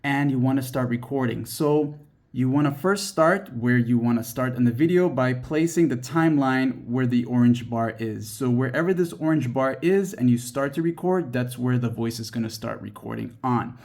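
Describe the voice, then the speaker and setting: A man's voice: medium pitch, moderate pace, darkish tone. A man narrating a step-by-step app tutorial as its host, instructing the viewer directly.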